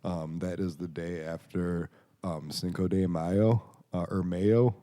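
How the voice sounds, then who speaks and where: Young American male podcast guest, late twenties, deep relaxed voice, informal, joking, rambling chat. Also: slow. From Chicago area, United States. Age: late 20s. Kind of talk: casual chat.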